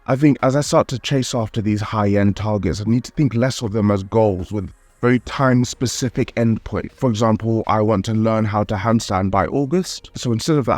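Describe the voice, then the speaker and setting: A man's voice: on the low side, darkish tone, no echo. A man narrating his calisthenics vlog in a candid, upbeat way, sounding a little nasal from a cold. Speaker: calisthenics vlogger.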